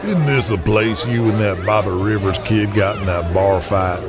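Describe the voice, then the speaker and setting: A man's voice: lowish voice, normal speed, lightly acted. Man acting a swaggering, folksy old wrestling boss. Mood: gruff, boozy boasting. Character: folksy, foul-mouthed older male wrestling boss rallying his men. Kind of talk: audio drama acting. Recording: music behind the voice.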